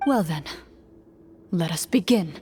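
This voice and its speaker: A woman voicing a composed game master in an anime-style game, narrating in a measured, formal, dramatic way. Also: lowish voice, lively intonation, warm tone, slight background noise. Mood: solemn, measured.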